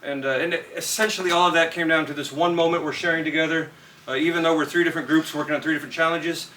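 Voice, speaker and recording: Bright, distant man presenting. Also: fairly high pitch. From California. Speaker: student presenter. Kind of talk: student project presentation to an audience. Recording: distant mic with light noise in a room.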